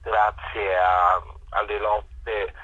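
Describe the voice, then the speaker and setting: A man, a musician being interviewed on radio, explaining things in a halting, thoughtful way. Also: lowish voice, flat delivery, sharp tone, breathy edge, dry recording. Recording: muffled, band-limited phone-like radio sound.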